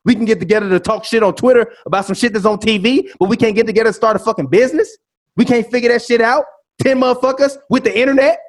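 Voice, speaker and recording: Brief: man, 30, high-pitched, profane ranting co-host who keeps repeating himself. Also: quick pace. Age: 30s. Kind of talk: heated casual debate.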